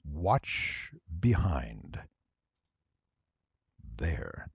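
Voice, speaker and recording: A man with a low, slightly rough voice walks through a tutorial. He explains deliberately and with emphasis in a very dry, close recording. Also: huge pitch swings, no echo, dull audio. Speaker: voice actor giving an audio editing tutorial. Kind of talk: explanatory screen-recording narration.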